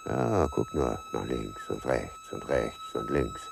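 An actor playing a menacing, contemptuous killer in a radio drama, with a sneering, mock-polite manner. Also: male voice, mid-range voice, huge pitch swings, slow pace, vocal fry, music in the background, full character voice. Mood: watchful, scornful. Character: menacing, contemptuous, cold-blooded male killer. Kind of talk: German radio drama monologue.

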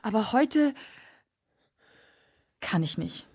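An actress playing a wary, searching woman in an intense radio drama, with a breathy voice. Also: on the high side, expressive, extremely slow, darkish tone, exaggerated voice. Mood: hushed, searching. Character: wary, searching woman, companion to a tormented man. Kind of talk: expressionist German radio drama acting.

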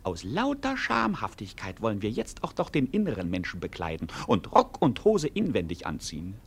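An actor playing a cynical, whining jester in a classic radio play, exclamatory and mock-philosophical, swinging from groans to grandiose outbursts. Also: male voice, on the low side, huge pitch swings, normal speed, creaky, breathy edge, full character voice. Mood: grumbling, mock-philosophical.

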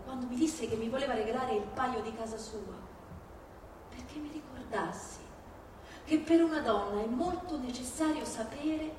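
A tender young woman, played by a stage actress, speaks her farewell letter with intimate, measured wistfulness. Her voice is on the high side. The live recording is very echoey and clearly noisy. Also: distant mic, lightly acted. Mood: tender, wistful. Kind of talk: theatrical monologue in a reading-concert.

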